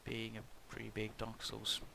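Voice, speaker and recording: A man from Norway, talking in a halting, self-conscious way as he gives casual commentary over a video game. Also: lowish voice, completely flat delivery, creaky. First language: Norwegian. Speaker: gaming channel host. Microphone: cheap headset mic. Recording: cheap headset mic with loud background noise.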